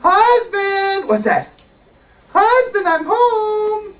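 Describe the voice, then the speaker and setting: A storyteller as a shrill, nagging giant's wife. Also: very animated, drawn-out words, fairly bright tone, heavily acted. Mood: calling. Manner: nagging, exclamatory. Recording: noisy, distant microphone.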